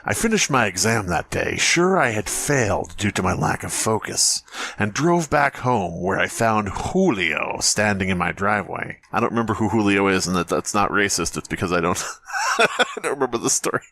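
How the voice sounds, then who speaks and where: A man's voice: lowish voice, lively intonation, moderate pace, vocal fry, pushed and bright. A man co-hosting a comedy podcast, reading a story aloud and bantering with mock exasperation and plenty of swearing. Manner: swears freely, mock-exasperated, breaks into impressions. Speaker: podcast co-host.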